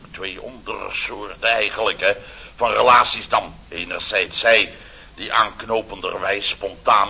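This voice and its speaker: A man's voice: fairly low pitch, sharp tone, slightly rough, heavily acted. An actor playing a flustered, self-important male boss in a Dutch radio comedy, stammering through pompous, wordy phrases. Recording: dull, faintly noisy vintage radio recording.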